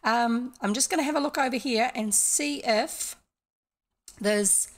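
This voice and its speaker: A woman's voice: on the high side, unhurried. An older woman chatting casually and in a rambling, informal way as a livestream host. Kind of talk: casual chat to livestream viewers. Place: indoor room, home streaming setup.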